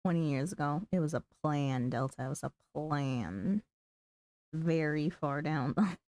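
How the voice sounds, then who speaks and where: Woman, game streamer, slightly low pitch, unhurried pace, casual commentary, talking to herself. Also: darkish tone. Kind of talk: casual livestream gameplay commentary.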